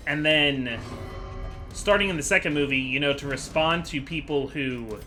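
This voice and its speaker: Bright-voiced man doing casual game commentary. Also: a little high, normal speed, soft background music. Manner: chatty, digressive, thinking aloud. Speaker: gaming video host.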